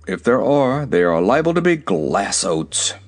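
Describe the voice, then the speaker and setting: A man's voice: lowish voice, very animated, measured pace, voice pushed down. A man voicing a grumpy, scornful old cab horse with a growling, world-weary manner, in a storybook reading. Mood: grumbling.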